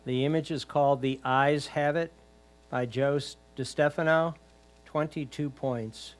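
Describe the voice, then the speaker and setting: A man's voice: a little high, fairly even intonation, unhurried. A man reading out titles and scores at a competition in a formal, matter-of-fact way.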